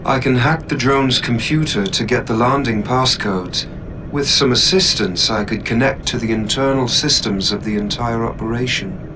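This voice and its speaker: A man's voice: mid-range voice, moderate pace, noisy background, natural voice. A man playing a polite, deferential AI assistant in a video game, speaking formally and precisely. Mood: calm, helpful. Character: polite male AI butler assistant, calm and deferential. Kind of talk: video game character acting.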